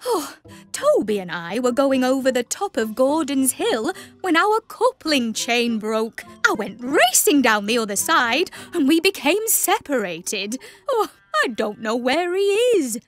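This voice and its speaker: A woman voicing a fretful, kindly female train in a children's cartoon, dramatic and expressive. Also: sits high, measured pace. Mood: distraught. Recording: music under the voice.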